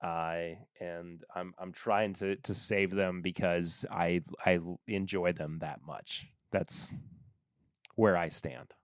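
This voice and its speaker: A slow, easygoing man with a fairly low voice talks through his picks on his own podcast, often stopping to restart his thoughts. His voice sounds smooth and clear, and the recording is dry and close, with no room sound. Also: steady tone, dry recording. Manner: rambling, halting, self-interrupting. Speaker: podcast host reviewing whiskey. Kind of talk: casual solo podcast talk. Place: home desk.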